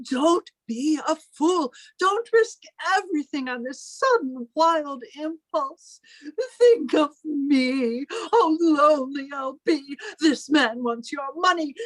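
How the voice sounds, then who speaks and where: A woman's voice: sits high, a bit bright, dry recording, low-quality recording. A woman playing a stern, controlling older sister in an old-time radio melodrama, speaking formally with a clipped, menacing manner. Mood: commanding, then tearful pleading. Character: stern, controlling, lonely older sister guarding her family's money.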